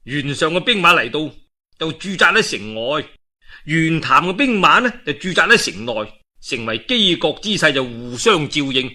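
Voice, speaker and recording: Animated, bright-voiced Cantonese male storyteller. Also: a little high. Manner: colloquial and expressive, with rhetorical asides. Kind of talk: dramatic oral storytelling of a historical novel.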